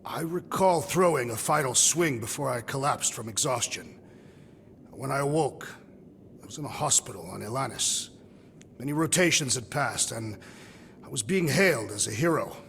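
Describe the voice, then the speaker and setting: Brooding alien warrior, stately formal diction, solemn, video game, long echoing tail. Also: male voice, medium pitch, animated, moderate pace, vocal fry, a bit bright, a little hiss or hum. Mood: grave. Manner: formal, grave, literal-minded diction. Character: solemn, proud alien warrior haunted by revenge. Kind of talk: video game cutscene acting.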